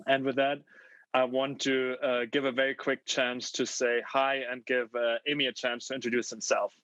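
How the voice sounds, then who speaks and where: A man in his thirties hosts a fireside chat over a video call, friendly but halting, stumbling through restarts and "um"s. His voice sits at an ordinary pitch, and the sound is dry and a bit muffled. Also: flat delivery, a bit bright, dry recording. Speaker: moderator. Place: home room.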